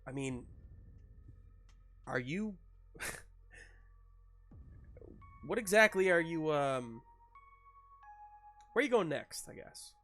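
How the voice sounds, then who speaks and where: A man running a tabletop roleplaying game, improvising narration and characters in a halting, searching way. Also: fairly high pitch, animated, fairly bright tone. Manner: halting, searching delivery with repeated words. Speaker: game master. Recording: music running behind the voice.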